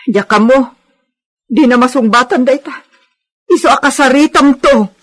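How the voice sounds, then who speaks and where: A woman playing a concerned, gently firm middle-aged mother in a radio drama, earnest and consoling. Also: high-pitched, normal speed, faint music behind. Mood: worried.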